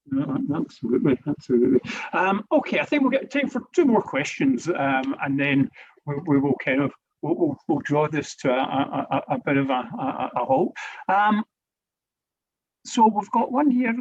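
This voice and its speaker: A man's voice: fairly high pitch, lively intonation, moderate pace, breathy edge, muffled recording. A man hosting a webinar over a video call, moderating questions with hesitant, stammering phrasing. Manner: hesitant, stammering filler-heavy. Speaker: webinar host.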